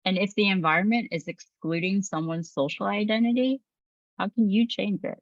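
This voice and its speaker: Calm woman, muffled dry narration. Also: medium pitch, normal speed, no room sound. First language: English. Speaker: presenter. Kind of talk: scripted training narration.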